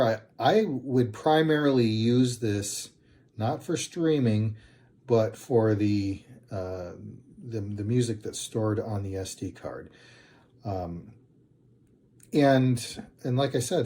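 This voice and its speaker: A man's voice: medium pitch, dark tone. A man giving a casual, unscripted product review in a plain-spoken, hesitant and rambling way.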